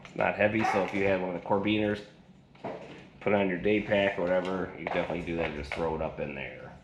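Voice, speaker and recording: A man's voice: deep voice, steady tone, fast talker. A man giving a casual hands-on gadget review in a rambling, colloquial way, speaking indoors. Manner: rambling, colloquial, folksy slang. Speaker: product reviewer. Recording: echoey room with loud noise.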